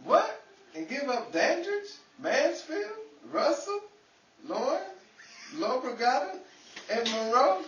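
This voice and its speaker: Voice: high-pitched, sing-song, slow pace, muffled recording, heavily acted. A performer playing a stubborn, indignant fan of 1950s starlets in radio comedy style. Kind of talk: old-time radio comedy acting. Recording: roomy echo, distant mic, faint noise.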